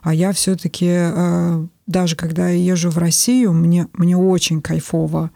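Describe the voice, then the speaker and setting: A woman in her forties from Estonia, talking in a thoughtful, measured way with many pauses on a podcast. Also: on the low side, level tone, gravelly, warm tone, dry recording, studio quality. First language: Russian. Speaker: podcast guest. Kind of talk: reflective podcast conversation.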